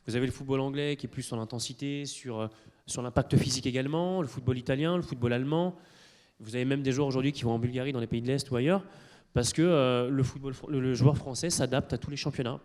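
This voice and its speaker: A man of Italian origin speaking as a conference panelist in a university lecture hall, explaining things hesitantly with many pauses and restarts. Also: mid-range voice, steady tone, a little mellow. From Italy. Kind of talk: explanatory talk to an audience.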